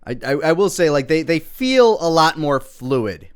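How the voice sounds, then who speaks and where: A man's voice: on the high side, big pitch swings, unhurried, clear, resonant tone. A man giving casual, self-critical commentary on his own video, thinking aloud as he goes.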